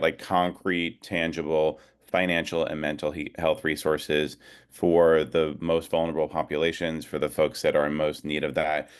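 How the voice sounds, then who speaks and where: A man speaking informally as an advocacy leader on a video call, reflective and hesitant, pausing often as he gathers his thoughts. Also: very deep voice, clear, resonant tone. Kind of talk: informal talk at an online rally.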